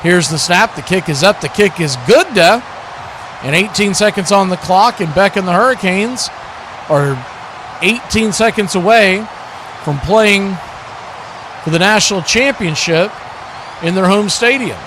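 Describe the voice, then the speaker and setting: Male sports commentator, pitched a bit high, conversational live football calls and analysis, recorded over noticeable ambient noise. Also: lively intonation, measured pace, pauses often. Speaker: play-by-play commentator hosting a watch party stream.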